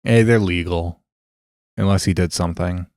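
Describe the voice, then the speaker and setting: A man's voice: low voice, fairly even intonation, moderate pace, a little mellow, no echo, clean studio sound. A man co-hosting a podcast in casual chat, joking and offhand, swearing casually. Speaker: podcast co-host.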